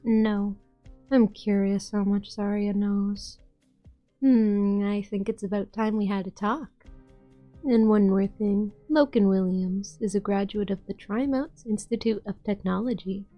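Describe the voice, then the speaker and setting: A woman playing a poised, curious and quietly scheming boss in an amateur game fan dub. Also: medium pitch, steady tone, measured pace, lo-fi sound, soft background music, close to an everyday voice. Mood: cool, musing.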